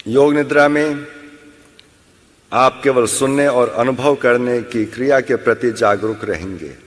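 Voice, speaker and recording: Slow-speaking man guiding meditation in long reverb. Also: mid-range voice. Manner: measured, instructive, with long pauses. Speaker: yoga nidra instructor. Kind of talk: guided meditation instruction.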